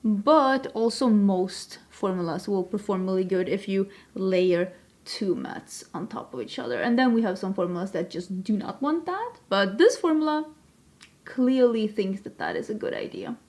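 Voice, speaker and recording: A woman's voice: mid-range voice, animated, moderate pace, darkish tone. A young woman from Sweden, chatting casually and self-deprecatingly while she does her makeup on camera. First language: Swedish. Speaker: beauty vlogger. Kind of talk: makeup tutorial chat.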